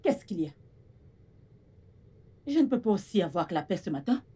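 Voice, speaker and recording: Husky-voiced stern mother. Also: mid-range voice, very animated, normal speed, warm tone. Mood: scolding. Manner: scolding, insistent, repeats demands. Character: stern, domineering mother of grown daughters. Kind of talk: dramatic film dialogue in French.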